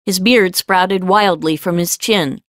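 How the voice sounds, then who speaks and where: A woman reading scripted fiction as an audiobook narrator, with measured storytelling delivery. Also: on the low side, a bit bright, no room sound, studio quality.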